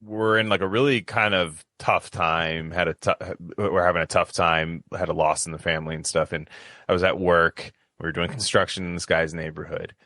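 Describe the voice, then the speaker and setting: A man with a deep but forceful, bright voice talks casually on a podcast. He rambles through his story in loose slang, doubling back on his words, recorded close and dry. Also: steady tone, moderate pace, no echo. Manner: rambling, slangy, repeats himself.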